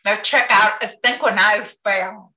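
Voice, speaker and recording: A woman with a deaf accent giving a talk on captioning over a video call, speaking carefully and deliberately. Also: medium pitch, fairly even intonation, pushed and bright. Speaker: presenter. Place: home office with bookshelves. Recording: echoey room on a video call.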